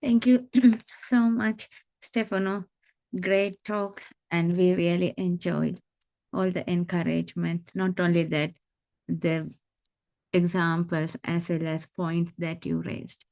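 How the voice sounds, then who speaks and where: A woman from Sri Lanka, moderating a club event and asking an earnest question, halting and searching for words. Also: lowish voice, flat delivery, gravelly, a little mellow, no echo. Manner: halting, with fillers and restarts, earnest.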